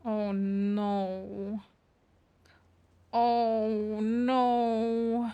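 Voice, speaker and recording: A woman's voice: fairly high pitch, little pitch movement, extremely slow, mellow, clear, resonant tone, muffled recording. A woman streaming a game, giving casual live reactions in short, dismayed interjections.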